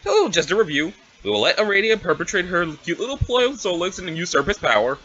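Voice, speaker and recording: A man's voice: sits high, big pitch swings, fast, bright, forceful tone, muffled recording, a little hiss or hum, exaggerated voice. Man putting on a brash, scheming alien girl. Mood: bossy, scheming. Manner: snarky, bossy, casual needling. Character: brash scheming female alien troll, cocky and sarcastic. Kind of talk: amateur comic dramatic reading.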